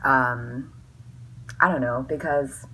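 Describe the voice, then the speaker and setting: A woman in her twenties talks in a very low, bright-edged voice, a bit tipsy and full of sarcasm. She swears freely and plays things up with mock drama. A faint background hum sits under the recording. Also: slow, rough recording. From US West Coast. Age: 20s. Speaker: vlogger. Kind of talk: casual tipsy Q&A chat.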